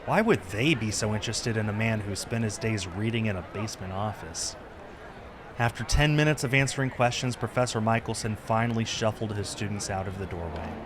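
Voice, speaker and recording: Low-pitched man narrating a story. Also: noisy background. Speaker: streamer reading a visual novel aloud.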